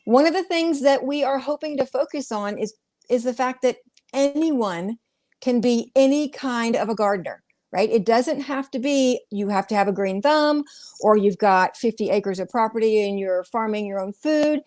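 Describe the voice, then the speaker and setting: High-voiced woman, informal co-host. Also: animated, moderate pace, darkish tone. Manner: informal, chatty. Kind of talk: casual live chat show.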